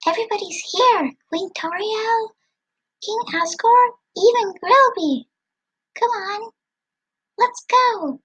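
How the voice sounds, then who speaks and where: A woman voicing a proud, excitable younger skeleton brother with a theatrical, pompous manner. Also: very high-pitched, takes it slowly, pauses often, muffled recording, pitched above the performer's own voice, exaggerated voice. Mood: eager.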